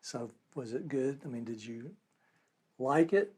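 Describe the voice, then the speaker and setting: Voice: mid-range voice, animated, measured pace, a little mellow, husky. A podcast host welcoming a guest and asking short, friendly questions. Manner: upbeat welcoming, brief prompting questions.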